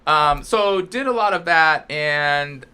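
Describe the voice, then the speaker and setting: A man's voice: a little high, animated, takes it slowly, pushed and bright, clear, resonant tone. An American man co-hosting a podcast, chatting casually and telling anecdotes in an informal, loosely phrased way. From Southern California, United States. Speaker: podcast co-host. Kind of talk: casual conversational chat.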